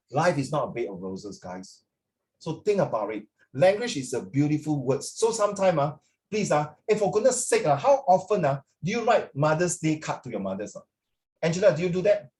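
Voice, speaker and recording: Chatty Singaporean male teacher, slightly high-pitched. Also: darkish tone. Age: middle-aged. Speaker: language teacher. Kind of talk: informal classroom talk with students.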